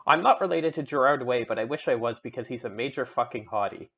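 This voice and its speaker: A man's voice: medium pitch, quick pace, a bit bright, husky, dull audio. A man voicing a melodramatic teenage goth vampire schoolgirl in an emphatic, petulant dramatic fan fiction reading. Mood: self-absorbed, dramatic. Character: melodramatic seventeen-year-old goth vampire schoolgirl narrator.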